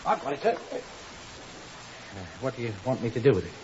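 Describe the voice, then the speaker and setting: An actor playing a deferential, slightly flustered attendant in a 1950s radio drama. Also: male voice, medium pitch, very animated, fairly quick, natural voice. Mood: eager, deferential. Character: deferential, flustered English male attendant, chummy and excitable. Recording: muffled, hissy 1950s radio recording.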